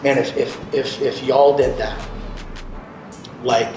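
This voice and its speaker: A man's voice: medium pitch, level tone, slow, noisy background. A man speaking as a guest radio programmer on a panel, giving advice to artists and repeating key points for emphasis. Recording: loud music behind, roomy sound.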